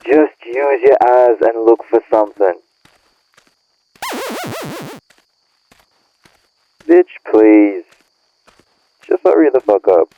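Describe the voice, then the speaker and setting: A man's voice: medium pitch, unhurried. A man acting a bitter, irritated young friend in an audio roleplay, scolding in profane slang through a phone-like filter. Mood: annoyed, scolding. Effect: phone or radio filter. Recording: narrow tinny phone-like band.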